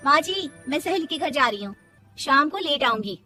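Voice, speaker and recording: Woman putting on a high, proud young daughter-in-law. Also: lively intonation, normal speed. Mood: self-assured. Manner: indignant, preachy, self-assured. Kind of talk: Hindi cartoon moral story acting. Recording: music behind the voice.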